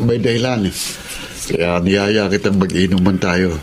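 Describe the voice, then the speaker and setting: A man's voice: sits low, measured pace. A man playing a guilty, withdrawn young boyfriend in a Filipino romantic radio drama, subdued and earnest. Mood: troubled. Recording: faint background noise.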